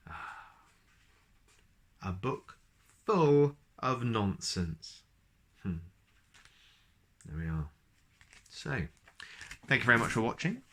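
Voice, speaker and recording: Very animated male storybook reader, lowish voice. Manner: expressive, addresses young listeners directly. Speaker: storyteller, host. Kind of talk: children's storybook narration.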